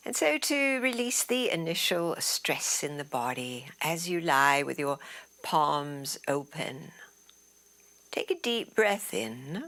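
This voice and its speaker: Female meditation guide, lowish and very slow, soothing delivery with soft trailing phrase ends. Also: very animated. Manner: soothing, unhurried, trailing into breathy softness. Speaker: yoga nidra teacher. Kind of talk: guided relaxation meditation instruction.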